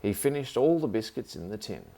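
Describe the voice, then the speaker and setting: A man's voice: fairly low pitch, sing-song, a little fast, warm tone. A man reading a children's story aloud as the narrator.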